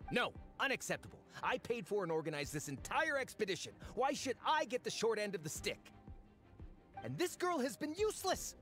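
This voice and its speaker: A man's voice: high voice, sing-song, normal speed, fairly bright tone. An actor playing a greedy, pompous, blustering man who sponsors a treasure hunt in an anime game, with a theatrical delivery. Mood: indignant, scornful. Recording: music behind the voice.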